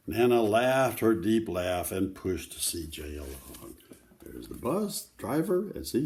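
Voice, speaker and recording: A man's voice: fairly low pitch, mellow. An older man reads a children's book aloud, breaking off for folksy, casual asides that explain the pictures to young listeners.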